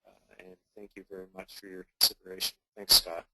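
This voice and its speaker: A man giving unscripted business remarks as a portfolio manager, measured and pausing between short phrases. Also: low voice, flat delivery, sharp tone. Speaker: portfolio manager speaking as guest co-presenter. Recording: very noisy conference line with clicks.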